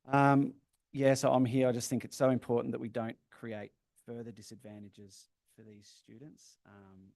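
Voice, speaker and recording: A man's voice: mid-range voice, flat delivery, moderate pace, gravelly, darkish tone, dry recording, studio quality. A man speaking from the public gallery at a council meeting, earnest and concerned. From Australia. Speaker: member of the public.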